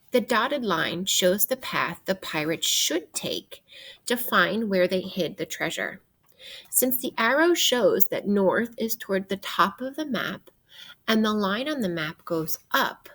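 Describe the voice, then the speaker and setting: Female teacher for children's read-aloud: slightly raspy, animated pitch, expressive, guiding delivery with questions. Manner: expressive, guiding with questions and pauses for young listeners. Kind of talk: interactive read-aloud of a children's nonfiction book.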